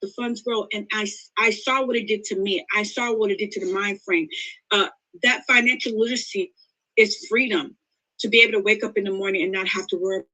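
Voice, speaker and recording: Woman, 50s, podcast guest; bright, forceful, earnest and emphatic. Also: mid-range voice, fairly even intonation, a little fast, low-quality recording. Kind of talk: interview conversation.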